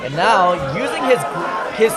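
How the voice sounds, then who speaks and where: A man giving live play-by-play wrestling commentary as a commentator, measured and building his phrases for emphasis. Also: high voice, expressive. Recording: noisy arena recording with loud crowd. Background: loud wrestling crowd.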